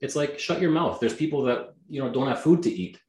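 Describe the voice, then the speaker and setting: Energetic Canadian man, echoey room. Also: medium pitch, a little fast, a little hiss or hum. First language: Greek. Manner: energetic, emphatic, blunt, swears freely. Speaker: guest, real estate agent. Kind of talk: motivational interview talk.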